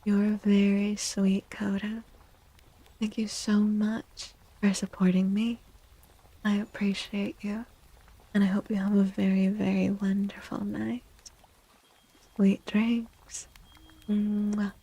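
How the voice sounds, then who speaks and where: A woman speaks softly in an ASMR style, half-whispering with a breathy, mellow voice. Her delivery is slow and gentle, with deliberate pauses between phrases, as she hosts a tarot reading. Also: mid-range voice, level tone, low-quality recording. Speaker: livestream host and tarot reader.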